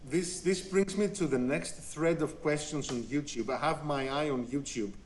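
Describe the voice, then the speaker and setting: Male panel moderator, somewhat high voice, hesitant delivery. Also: moderate pace, slight background noise. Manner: hesitant, many fillers.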